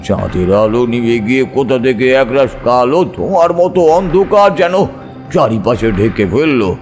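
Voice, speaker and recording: A man narrating a scripted ghost story in a suspenseful, expressive, dramatic manner. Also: mid-range voice, moderate pace, soft background music.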